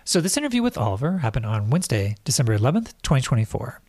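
A man's voice: medium pitch, very animated, racing, darkish tone. Casual podcast host man. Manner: casual, filler-heavy questioning. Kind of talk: podcast intro and interview questions.

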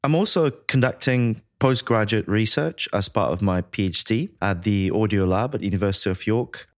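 A man from Latvia, a sound designer, giving measured, explanatory answers as an interview guest. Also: on the low side, dry recording, clean studio sound.

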